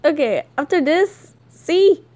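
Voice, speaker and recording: A young woman giving casual, halting, giggly commentary as she reacts to comics as a video creator. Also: sits high, lively intonation, dark tone.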